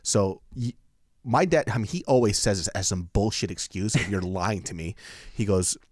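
A man co-hosting a podcast, telling stories in a casual, profane and animated way with plenty of laughing. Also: fairly low pitch, moderate pace, no echo, clean studio sound. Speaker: podcast co-host. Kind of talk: casual chat.

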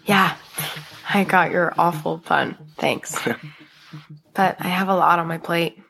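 A woman's voice: lowish voice, steady tone, slow pace, gravelly, fairly bright tone, heavily acted. A woman playing an ambitious, earnest small-town teenage scholarship student in an audio drama. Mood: wry. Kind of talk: audio drama narration and dialogue.

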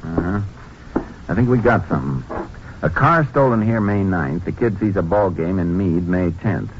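A man's voice: deep voice, lively intonation, moderate pace, a little hiss or hum. An actor playing a terse, matter-of-fact Texas Ranger lawman in a 1950s radio western crime drama. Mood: calm, deliberate. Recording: muffled old radio broadcast with music.

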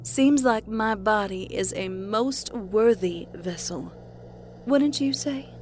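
A woman voicing an eerie, zealous pregnant patient who mocks her nurse in a slow, sing-song voice. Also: mid-range voice, darkish tone, a little hiss or hum. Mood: mocking. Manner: slow, sing-song, mocking religious fervor.

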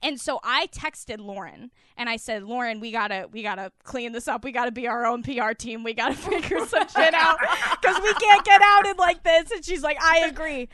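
A young American woman telling a comic story casually, in an animated, giggly way that dramatizes people's reactions. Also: high voice, moderate pace, hardly any pauses. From United States, small rural town.

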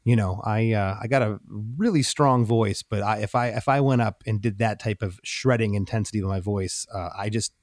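A man's voice: fairly low pitch, moderate pace, hardly any pauses, a little mellow, dry recording, clean studio sound. A middle-aged man hosting a casual interview, an enthusiastic and chatty fellow singer who often repeats his words. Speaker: podcast host and fellow singer.

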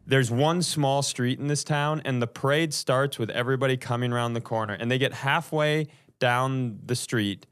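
A man's voice: medium pitch, normal speed, few pauses, fairly bright tone, clear, resonant tone. A man co-hosting a comedy podcast, telling casual funny stories and laughing often as he goes. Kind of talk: casual comedic chat, storytelling.

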